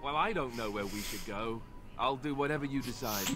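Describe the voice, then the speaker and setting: A man's voice: mid-range voice, fairly quick, over loud music, natural voice. An actor playing a deferential young male warrior in a video game, with a wry, conversational manner. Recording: clear background ambience noise.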